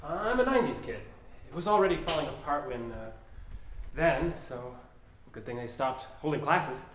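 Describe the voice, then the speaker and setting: A man's voice: a little high, lively intonation, moderate pace, a bit bright, slight background noise. An actor playing an earnest, touchy man exploring an old building, stammering a little in naturalistic audio drama dialogue. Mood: nervous, eager. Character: earnest, touchy male urban explorer telling local legends. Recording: echoey hollow room sound.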